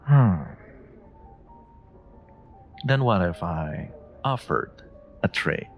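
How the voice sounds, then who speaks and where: Man playing a deep-voiced, suave flirty stranger. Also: animated, faint music behind, full character voice. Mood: playful, bargaining. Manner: teasing, coy, unhurried, murmuring endearments. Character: suave flirty male stranger, playful and confidently charming. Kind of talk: romantic audio roleplay, one-sided dialogue addressed to the listener.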